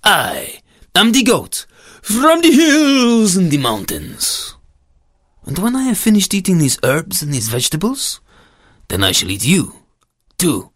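A storyteller voicing a huge, bullying mountain goat who challenges people and makes drawn-out, boastful threats in a storybook tale. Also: medium pitch, wildly swooping pitch, fairly bright tone, no echo, clean studio sound, pitched above the performer's own voice, full character voice. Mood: menacing boast. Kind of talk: storybook character voice.

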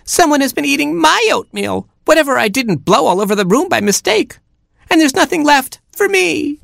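A very high, squeaky baby boy chick from a storybook. He is timid and excitable, with a whiny screech that swings about. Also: normal speed, creaky, dry recording, studio quality, full character voice. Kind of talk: storybook character voice.

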